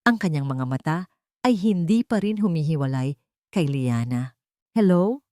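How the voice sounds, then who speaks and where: A woman reading a scripted love drama as a narrator, with emotive, deliberate phrasing. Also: low voice, animated, dark tone, no room sound, studio quality. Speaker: story narrator.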